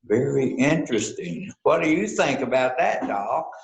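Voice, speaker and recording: A man playing an unhurried, questioning investigator in an amateur readers theater mystery, in an echoey room. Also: medium pitch, lively intonation, gravelly. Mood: deliberate, probing.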